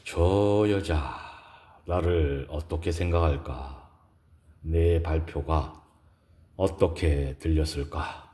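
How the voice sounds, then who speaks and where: A man voicing a coolly rational professor who is privately unsettled by love, in a hushed, halting, introspective way for an audio drama. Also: extremely low pitch, steady tone, pitched below the performer's own voice. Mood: wondering, self-conscious.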